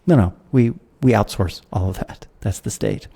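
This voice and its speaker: A man's voice: lowish voice, normal speed, vocal fry, dark tone, breathy edge. An American man, a guest philosopher, talking through ideas in a thoughtful, professorial way with the odd wry laugh. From United States. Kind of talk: conversational interview.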